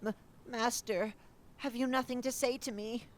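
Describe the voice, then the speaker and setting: A man's voice: very high voice, steady tone, moderate pace, a little hiss or hum, voice pushed up. A man voicing a terrified, coughing demonic entity that stammers and begs for mercy. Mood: terrified pleading. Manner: stutters and coughs, begging in terror. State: coughing and hacking.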